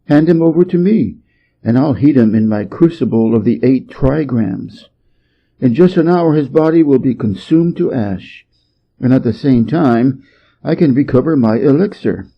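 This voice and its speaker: A man voicing a calm, calculating Taoist patriarch in a storybook, courteous and measured. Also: mid-range voice, breathy edge, dull audio. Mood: deferential. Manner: measured, deferential.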